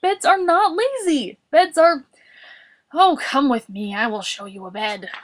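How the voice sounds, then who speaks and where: A woman voicing an exasperated, bossy male storybook caretaker who fusses, scolds and often shouts. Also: mid-range voice, moderate pace, natural voice.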